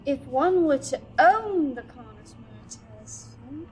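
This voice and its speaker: A woman's voice: high-pitched, expressive, slow, rough recording. A woman playing a nervous, eager, scholarly archive curator in a sci-fi audio drama, stammering and deferential. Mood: scholarly excitement. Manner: nervous, stammering, deferential, bossy to her assistant. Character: flustered, eager scholarly female archive curator serving a Sith. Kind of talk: fan audio drama acting.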